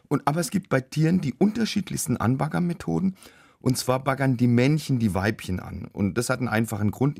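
A man with a cold and a rough, husky voice, explaining things as a biologist guest on a radio show. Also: mid-range voice, a little mellow. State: has a cold. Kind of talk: explanatory chat.

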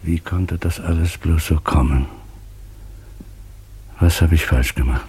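A man's voice: extremely low pitch, steady tone, unhurried, darkish tone, slightly rough, full character voice. An actor playing an evasive, irritable businessman in a German radio play, brusque and defensive in manner. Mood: troubled, probing.

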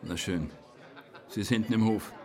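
An actor playing a wary, protective pub keeper in a radio drama, guarded and gruff in manner. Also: male voice, lowish voice, moderate pace, a little mellow, a faint crowd. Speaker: actor in a detective radio play. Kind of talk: German radio drama acting.

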